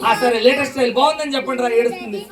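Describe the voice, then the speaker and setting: A woman's voice: on the high side, very fast, heavy background noise. A woman hosting a children's puppet show with playful, teasing banter, repeating phrases and calling out to the audience. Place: church hall with children in audience. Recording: echoey hall, distant mic, loud crowd.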